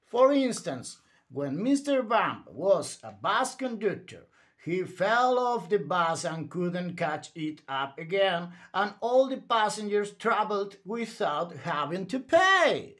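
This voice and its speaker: A man's voice: high-pitched, big pitch swings, takes it slowly, a bit bright. A man reading a children's story aloud in a theatrical, emphatic bedtime read-aloud style.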